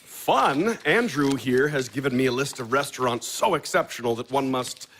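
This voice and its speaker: A man's voice: medium pitch, lively intonation, normal speed, a bit bright, a little hiss or hum, lightly acted. An actor playing a sardonic, blunt young man in an audio play, mocking and profane in his speech. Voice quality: cutting, profane, sarcastic.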